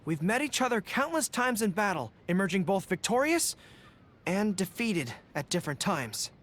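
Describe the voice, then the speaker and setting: A man's voice: sits high, big pitch swings, normal speed, a bit bright. A man playing an earnest, modest young dog-eared general in a video game, with formal, dutiful phrasing. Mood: reflective. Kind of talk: video game character voice lines. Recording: music behind the voice.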